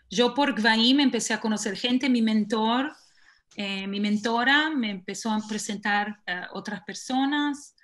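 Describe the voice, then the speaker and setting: A woman's voice: mid-range voice, a bit bright. A woman, a guest in an online panel, telling her own story casually, with many fillers and self-corrections. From Argentina. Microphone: video call.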